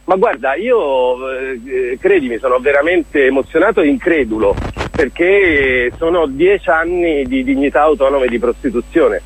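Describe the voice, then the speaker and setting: A man giving a casual radio interview as a guest, speaking in an enthusiastic, expressive way. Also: medium pitch, moderate pace, slightly rough, poor audio. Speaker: interview guest, theatre director.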